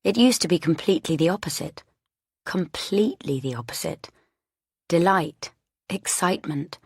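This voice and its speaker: A woman's voice: on the low side, lively intonation, normal speed, breathy edge, no echo, clean studio sound. A woman narrating a first-person thriller audiobook from a script.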